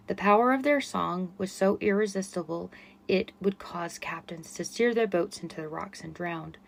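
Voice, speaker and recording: A middle-aged woman with a quiet voice hosting a solo podcast, chatty and self-effacing with frequent fillers. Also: fairly low pitch. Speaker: podcast host and running coach. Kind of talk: casual solo podcast monologue.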